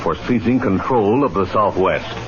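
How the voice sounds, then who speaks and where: A man's voice: lowish voice, animated, normal speed. A male announcer delivering theatrical, declamatory narration in the dramatic style of 1940s and 50s radio. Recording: dull vintage radio recording. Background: music.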